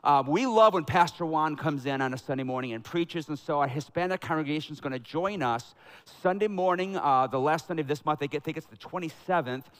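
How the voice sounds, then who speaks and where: A middle-aged man pastoring a church, preaching in a conversational, energetic style that builds to loud emphatic peaks, on a headset mic. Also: a little high, brisk, smooth, rough recording. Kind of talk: sermon with announcements and prayer. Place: church sanctuary.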